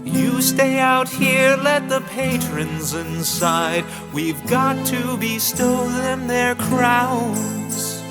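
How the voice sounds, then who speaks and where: A woman's voice: a little high, very slow, hardly any pauses. A woman singing a comic storytelling ballad over plucked guitar, like a minstrel.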